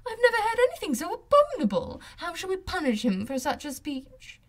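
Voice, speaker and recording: A woman's voice: extremely high pitch, huge pitch swings, brisk, pitched above the performer's own voice, full character voice. A woman narrator acts out a coquettish, haughty young lady of society in an audiobook. She is affected and exclamatory, drawing out her words as if every remark were scandalous. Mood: mock outrage. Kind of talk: audiobook character voice.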